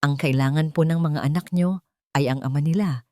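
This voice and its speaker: An earnest housemaid stands her ground tearfully, played by a woman narrator. It is audio drama delivery with a lowish pitch and dry sound. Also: normal speed, mellow, no room sound, lightly acted. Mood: tearful, firm. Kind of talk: Tagalog audio drama dialogue.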